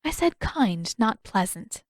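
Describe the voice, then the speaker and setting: A woman voicing a polite, gentle, composed teenage princess in an audiobook story. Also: mid-range voice, very animated, slow, no room sound, clean studio sound. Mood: gently wry.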